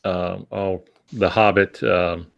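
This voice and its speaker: A man's voice: sits low, steady tone, slow, creaky. An older man giving writing advice in casual podcast talk, with a wry, self-deprecating, folksy manner. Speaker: podcast co-host and novelist.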